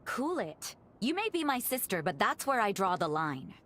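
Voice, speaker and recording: A woman's voice: medium pitch, very animated, moderate pace, a bit bright, a little hiss or hum. A woman playing a spirited, protective sister in a video game cutscene, talking in a blunt, informal way. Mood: irritated, defensive. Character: spirited, exasperated sister, a protective betrothed noblewoman.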